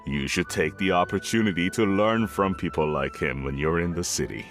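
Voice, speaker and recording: It's a respectful, formal middle-aged father and tea trader in a video game. The voice is deep and deferential. Faint music plays underneath. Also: moderate pace. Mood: admiring.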